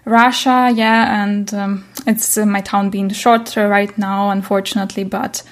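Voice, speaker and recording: Young female interview guest, Ukrainian accent, non-native speaker, medium pitch with little variation, halting phrasing. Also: little pitch movement. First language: not English. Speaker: interview guest, chess coach. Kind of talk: casual interview chat.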